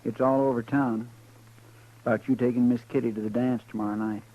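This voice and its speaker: A man's voice: mid-range voice, steady tone, brisk, close to an everyday voice. A loyal, fretful frontier deputy chatters in a folksy twang, played by a man. His voice comes through a thin, phone-like old radio broadcast. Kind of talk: 1950s radio acting.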